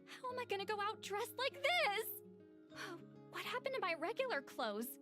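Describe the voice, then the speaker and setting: A woman's voice: very high-pitched, sing-song, moderate pace, over music. A woman voicing a grumpy, easily flustered young woman in an anime-style video game, dramatic and self-conscious. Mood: flustered panic. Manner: dramatic, sighing, stammering.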